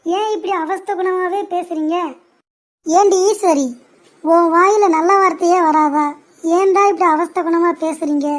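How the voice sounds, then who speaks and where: A woman's voice: extremely high pitch, steady tone, unhurried. A woman voicing a blunt, chiding old grandfather who protects his daughter-in-law in a cartoon parody.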